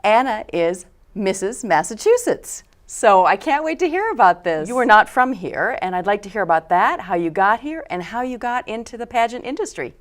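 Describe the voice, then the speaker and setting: A woman hosts a talk show and chats casually with her guest. Her voice sits at a mid pitch, and she keeps the conversation moving with short mm-hmms and easy follow-up questions. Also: sing-song, normal speed, hardly any pauses. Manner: encouraging, frequent mm-hmms and laughs. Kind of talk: casual interview chat.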